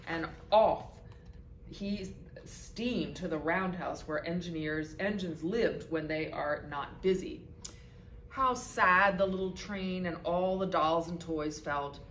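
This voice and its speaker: A woman's voice: on the low side, slow, fairly bright tone. A woman reading a picture book aloud expressively for children as a volunteer storyteller. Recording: echoey room with background noise.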